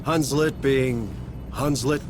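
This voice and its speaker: A man's voice: medium pitch, slow, close to an everyday voice. Man playing blunt Marine sergeant, noisy background. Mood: steady, measured. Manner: folksy, blunt, authoritative. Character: steady, plain-spoken male Marine sergeant, commanding. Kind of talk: video game cutscene acting. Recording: clear vehicle-like ambient noise.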